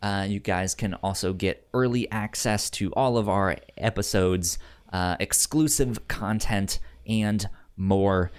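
A man's voice: on the low side, slow, clean tone. A man hosting a casual film podcast, who stammers and often repeats the beginnings of words. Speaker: podcast host.

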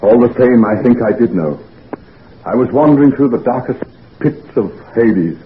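An actor playing an anguished, secretive convalescent gentleman doctor in a brooding, melodramatic 1940s radio drama style. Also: medium pitch, animated, moderate pace, lightly acted. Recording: noisy, tinny 1940s radio recording.

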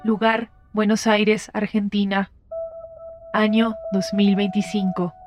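A woman's voice: medium pitch, flat delivery, slow pace, over music, close to an everyday voice. A woman voicing an impersonal computer system that reads out records in a formal, robotic manner for a sci-fi audio drama. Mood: impersonal, official. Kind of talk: scripted announcement.

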